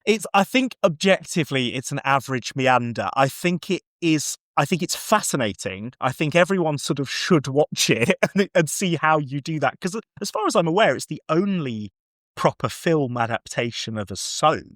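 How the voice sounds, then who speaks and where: A man chatting casually about films, acting out bits of dialogue as he tells it in an animated way. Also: on the high side, moderate pace, no room sound, studio quality. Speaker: podcast guest film reviewer. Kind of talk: casual, chatty film discussion.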